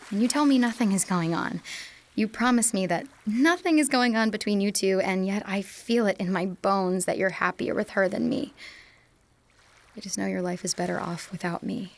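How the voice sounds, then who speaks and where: A young woman acting an insecure, fearful student desperately in love, in an emotional, halting audio drama monologue. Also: medium pitch, slight background noise, natural voice.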